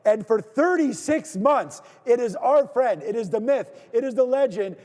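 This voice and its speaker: Energetic Canadian man hosting a trading stream. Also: very high-pitched, normal speed, long reverb tail. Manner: energetic, rambling, repeats words, shouts out viewers. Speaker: live trading stream host. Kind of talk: casual live-stream banter.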